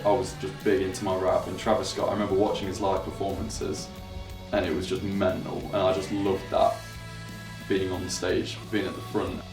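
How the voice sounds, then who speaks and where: An eighteen-year-old man, telling stories casually in a documentary interview. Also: low-pitched. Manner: casual, rambling storytelling. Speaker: band's lead singer and guitarist. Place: band practice room. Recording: echoey room, far from mic, music behind.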